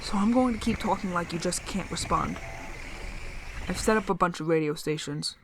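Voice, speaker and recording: An actress playing a lonely, awkward survivor speaking haltingly and earnestly into a radio in an audio drama. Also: female voice, fairly low pitch. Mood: firmer, insistent. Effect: radio transmission. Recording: noisy radio transmission with static.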